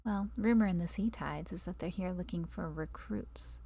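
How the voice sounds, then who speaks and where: Woman playing a mellow, creaky-voiced fantasy barmaid. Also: lowish voice, normal speed. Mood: wistful, grave. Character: worldly, caring tavern barmaid in a fantasy town. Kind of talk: fantasy audio drama dialogue.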